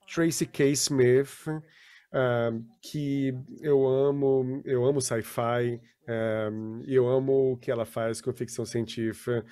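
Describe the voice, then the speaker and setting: Man, live interpreter, halting self-corrections, dark mellow tone, video call audio. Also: mid-range voice. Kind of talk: live interpretation for an online panel.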